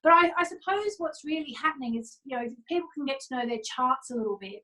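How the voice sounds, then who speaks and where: A woman's voice: high voice, expressive, quick pace, pushed and bright, low-quality recording. A woman speaking as an interview guest, explaining astrology in an earnest, informal and emphatic way.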